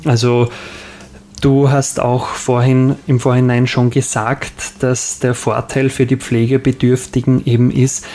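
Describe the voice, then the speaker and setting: A man hosting a radio interview, asking slow, halting questions with small fillers. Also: mid-range voice, warm tone. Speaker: radio host.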